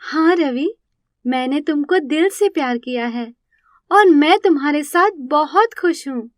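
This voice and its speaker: A woman voicing a sweet, polite, earnest young woman in an animated moral story. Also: high voice, measured pace, no echo, studio quality, voice pushed up. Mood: happy. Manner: polite, pleading, heartfelt.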